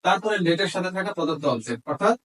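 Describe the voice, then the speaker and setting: A man's voice: high voice, expressive, rapid-fire, a bit bright. A man with a Bangladeshi accent giving an instructional grammar lesson as a teacher, methodically dictating examples word by word. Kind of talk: instructional lesson mixing Bengali explanation with English examples.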